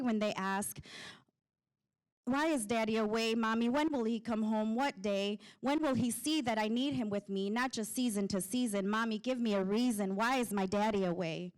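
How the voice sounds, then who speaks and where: Lady doing spoken word, highish voice, dramatic and rhythmic, really impassioned. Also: clear, resonant tone, low-quality recording. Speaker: poet. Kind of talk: spoken word poetry performance.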